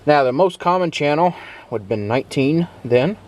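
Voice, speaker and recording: A man's voice: fairly high pitch, expressive, moderate pace, a little mellow. A man giving a playful, theatrical hands-on demonstration with storytelling narration, recorded inside the cab of a truck. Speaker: vlogger demonstrating vintage gadgets. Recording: clear background noise in vehicle cab.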